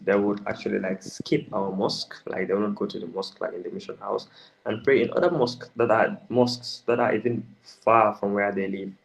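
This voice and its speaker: A young man from the Gambia, answering interview questions in a hesitant, halting way with many restarts. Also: on the low side, vocal fry, rough recording. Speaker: interview guest.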